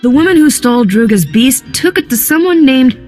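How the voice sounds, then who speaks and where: A woman's voice: sits high, expressive, a little fast, darkish tone, faint music behind. An actress playing a cool female space smuggler in a video game, casual and wry. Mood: wry, businesslike. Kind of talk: video game dialogue acting.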